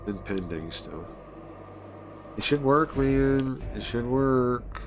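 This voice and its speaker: A man's voice: mid-range voice, animated, slow, over music. A man livestreaming casually, thinking aloud, muttering to himself and repeating phrases as he goes.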